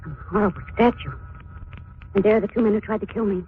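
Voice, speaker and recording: A woman's voice: mid-range voice, steady tone, fast, natural voice. An actress in a 1940s radio drama playing a frightened but plucky young woman, with a breathless, dramatic delivery. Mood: hushed dread. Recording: dull, noisy 1940s radio recording.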